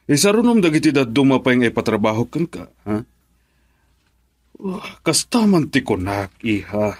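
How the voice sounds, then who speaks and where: Man acting as older boss and fond dad, Filipino accent, medium-pitched, encouraging, radio drama. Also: very animated, normal speed. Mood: fatherly. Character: genial older businessman father, fond and encouraging.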